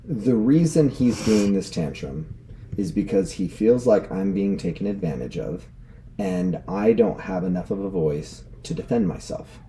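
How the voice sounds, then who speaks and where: A man speaks as an interview guest with a very low, dark, mellow voice. He lays out his ideas calmly and methodically. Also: unhurried, a little hiss or hum. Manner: calm and explanatory. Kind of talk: casual conversational interview.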